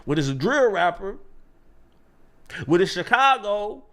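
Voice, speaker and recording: A man's voice: sits high, sing-song, a little slow, a bit bright. A man in an online commentary video, talking in an emphatic, rhetorical, preachy way.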